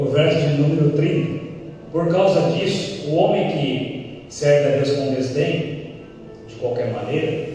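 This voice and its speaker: A man from Brazil preaches with emphatic, swelling delivery, acting out short exchanges between people. His voice sits fairly high. He is heard from a distance in a large, long-echoing church hall with faint music underneath. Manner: emphatic preaching, rising to exclamations and acting out dialogues. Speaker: pastor. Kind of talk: sermon. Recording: echoey church hall, mic far off.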